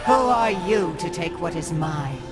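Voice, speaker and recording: A woman's voice: fairly low pitch, animated, a little slow, over loud music. An actress playing a haughty, taunting witch who leads a coven in a video game. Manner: imperious, taunting. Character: haughty villainous female witch, leader of a coven.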